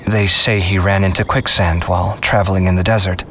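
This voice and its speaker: A man voicing a confident but easily flustered young scholar in a video game, with a theatrical, expressive delivery. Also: deep voice, a little fast, noisy background, natural voice. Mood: earnest, subdued. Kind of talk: scripted video game character acting.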